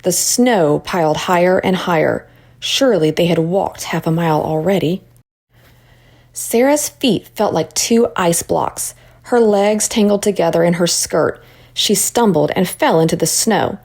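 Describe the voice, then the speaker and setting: A woman narrating a children's story in an expressive read-aloud style. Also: on the low side, measured pace, creaky.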